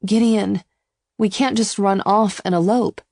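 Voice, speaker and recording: A woman voicing an overwhelmed, hesitant young woman in love in a romance audiobook, faltering and breathy as she protests. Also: medium pitch, fairly even intonation, unhurried, darkish tone, no echo, clean studio sound, pitched above the performer's own voice. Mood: protesting. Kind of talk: audiobook romance character dialogue.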